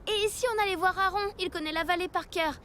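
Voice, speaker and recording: A woman voicing a sensible, teasing child who is a loyal friend in a French animated series, reasoning and wry. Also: very high-pitched, quick pace, slight background noise. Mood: reasoning, concerned.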